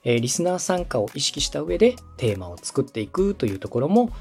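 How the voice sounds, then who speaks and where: A man's voice: a little high, very animated, a little fast, gravelly, darkish tone, faint music behind. A man hosting and coaching, explaining things step by step in a polite, instructive way. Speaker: podcast host and coach, a radio director. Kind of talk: structured explanatory coaching on podcast production.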